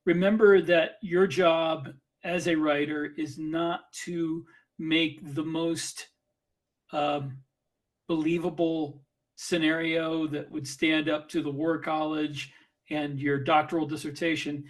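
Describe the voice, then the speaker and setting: A man with a somewhat high voice speaks as a podcast co-host in a panel chat. He is plainspoken and lays out his points in a measured, conversational way. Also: little pitch movement. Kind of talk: casual panel discussion.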